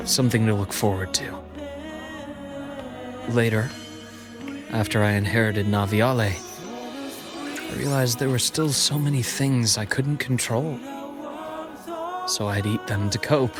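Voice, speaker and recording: A man's voice: fairly low pitch, lightly acted. Actor as a composed, melancholic man, music behind. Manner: reflective, measured. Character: composed adult man, gentle, melancholic former company heir. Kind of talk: dramatic game cutscene dialogue. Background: background music.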